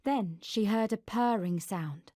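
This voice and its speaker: A woman narrating a scripted children's story in an expressive storyteller's way, acting out the dialogue. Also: medium pitch, slow pace, no room sound, clean studio sound.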